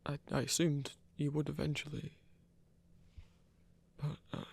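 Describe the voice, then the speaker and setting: Lonely, awkward werewolf for ASMR audio drama, played by a performer: mid-pitched, husky voice with fry, gentle and stammering. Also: flat delivery, unhurried. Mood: flustered, unsure. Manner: hesitant, stammering. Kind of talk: intimate ASMR audio roleplay.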